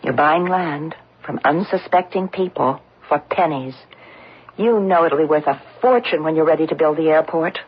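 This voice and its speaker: A woman's voice: deep voice, normal speed, a little hiss or hum, lightly acted. An actress playing a reproachful, moralizing woman, earnest and accusing, in an old-time radio drama. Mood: quietly reproachful. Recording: muffled old radio broadcast.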